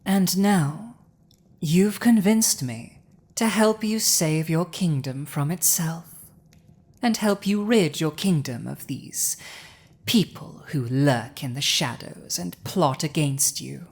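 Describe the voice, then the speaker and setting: A woman voicing a proud, domineering female dragon with an awkwardly tender side, in a dramatic ASMR roleplay, speaking deliberately with a husky, breathy voice. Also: deep voice, a little mellow, full character voice. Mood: proud, possessive. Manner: slow, deliberate, imperious, with long pauses.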